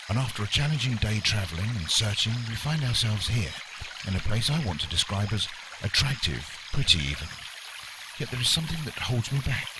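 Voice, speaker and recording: A man's voice: on the low side, noisy background, lightly acted. A man playing a wordy, unflappable, pompous nature presenter in a mock radio documentary, speaking with formal politeness.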